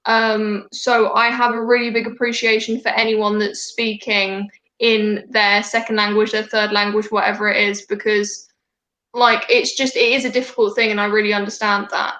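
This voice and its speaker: A woman's voice: medium pitch, little pitch movement, moderate pace, bright, forceful tone, roomy sound, low-quality recording. A young woman hosting a casual video call chat, talking at length with many fillers and restarts. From Denmark. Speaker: host.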